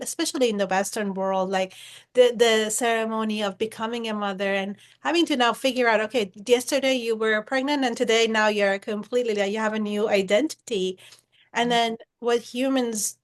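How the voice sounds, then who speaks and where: A woman hosting a podcast interview, talking in an animated way with many fillers. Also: on the high side. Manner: animated, filler-heavy talk. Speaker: podcast host.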